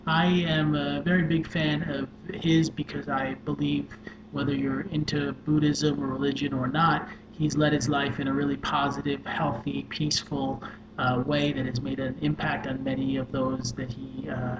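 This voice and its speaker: Soft-spoken man telling a story, echoey room. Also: mid-range voice, little pitch movement, moderate pace. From New York. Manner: earnest, many fillers. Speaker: social worker and life coach. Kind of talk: personal story told to camera. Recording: echoey room with dull sound and noise.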